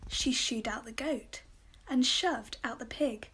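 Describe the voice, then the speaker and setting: A woman's voice: a little high, slow. A woman reading a children's picture book aloud. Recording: faint background noise.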